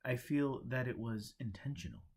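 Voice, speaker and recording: A man's voice: medium pitch, big pitch swings, moderate pace, gravelly, breathy edge, heavily acted. Fussy lawyer-turned-monk for a storybook, done by a male narrator: bewildered, indignant, forever protesting. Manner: questioning, indignant.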